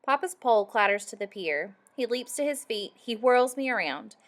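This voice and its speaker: A woman reading a picture book aloud for young children, animated and deliberate, acting out the sound effects. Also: on the high side. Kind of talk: expressive read-aloud storytelling for young children.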